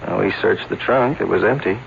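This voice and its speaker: An actor playing a patient, persistent police lieutenant in a 1970s radio drama, politely probing in a measured, hesitant way. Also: male voice, on the low side, creaky. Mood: patient, insistent. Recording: muffled, faintly noisy old radio broadcast.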